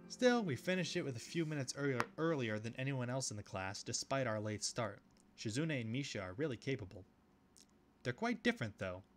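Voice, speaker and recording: A man reading a visual novel aloud, with relaxed commentary and casual asides. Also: mid-range voice, brisk, background noise. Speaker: video game let's player.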